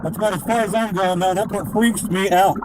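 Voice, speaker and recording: A man with a high, squeaky voice chats and thinks aloud as he searches underwater. He speaks through diving mask comms. The line carries a strong, steady hiss of noise. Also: a little fast. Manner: chatty, thinks aloud as he works. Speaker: diver. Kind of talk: casual narration while searching. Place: underwater in a river.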